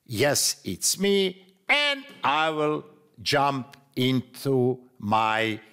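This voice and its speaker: Slow, lively Croatian-accented man tutoring. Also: mid-range voice, smooth. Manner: slow, deliberate, non-native phrasing with fillers. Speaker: software trainer. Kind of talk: screen-recorded tutorial.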